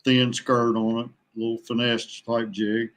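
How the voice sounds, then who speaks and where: A man from West Virginia chatting casually as a podcast guest, plain-spoken and halting, in no hurry. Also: medium pitch, flat delivery, fairly bright tone. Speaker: podcast guest, veteran kayak angler. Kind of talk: casual chat.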